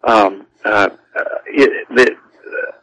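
A man's voice: lowish voice, fairly even intonation, takes it slowly, a bit bright, poor audio. A man teaching about the Bible on a radio talk show, talking earnestly with frequent restarts and repeated words. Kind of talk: conversational teaching on a call-in show.

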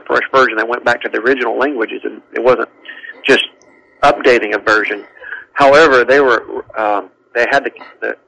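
A man with a bright, forceful voice explains scripture on a radio talk show. He talks earnestly, often restarts his sentences and repeats his words as he goes. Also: medium pitch, vocal fry, poor audio. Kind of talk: conversational teaching on a call-in show.

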